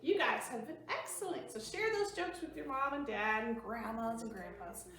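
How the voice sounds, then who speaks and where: A woman's voice: fairly high pitch, lively intonation, moderate pace, fairly bright tone. A woman presenting a children's storytime, reading aloud and explaining things simply for young listeners. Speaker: library storytime host. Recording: very echoey room, distant mic, faint noise.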